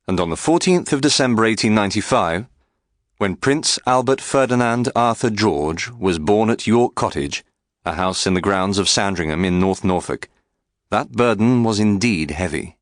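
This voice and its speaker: A man reading a scripted biography as an audiobook narrator, in a measured, articulate and formal way. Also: lowish voice, dry recording, studio quality.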